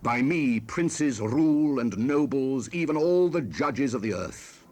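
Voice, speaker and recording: A man's voice: medium pitch, animated, slow, a little mellow, pitched above the performer's own voice. Man proclaiming as exalted, righteous spirit of Wisdom. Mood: exalted, commanding. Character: Wisdom personified, proud, righteous, ancient female spirit. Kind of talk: dramatized Bible reading. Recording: 1970s cassette rip with hiss.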